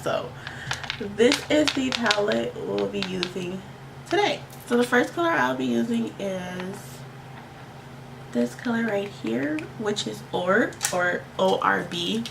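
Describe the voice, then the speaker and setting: A congested, slightly tired woman hosting a makeup tutorial, chatting casually and playfully in slang, often repeating words for emphasis. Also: on the high side. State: tired, under the weather with allergies. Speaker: beauty vlogger and makeup tutorial host. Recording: clearly noisy home recording.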